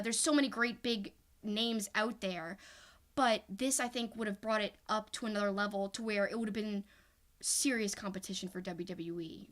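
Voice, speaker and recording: A woman's voice: a little high, fairly bright tone. A woman co-hosting a talk podcast, giving casual, opinionated sports commentary in an emphatic, fan-like way. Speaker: podcast co-host.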